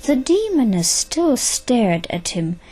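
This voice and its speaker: A woman's voice: on the high side, sing-song, slow pace, warm tone. A woman narrator reading a scripted, dramatic story for an audio drama. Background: faint music.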